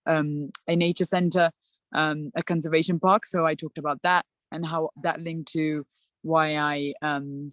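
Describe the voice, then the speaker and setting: A woman's voice: sits low, no echo. Chatty young woman from Sri Lanka. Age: young adult. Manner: chatty, many fillers and "like". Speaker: student panelist. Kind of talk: casual advice.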